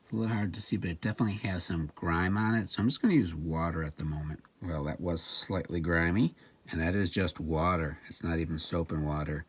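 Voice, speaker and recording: Male demonstrator, low and a little rough-edged, casual and plain-spoken, talking through hands-on work. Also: steady tone, fairly quick. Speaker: repair demonstrator and narrator.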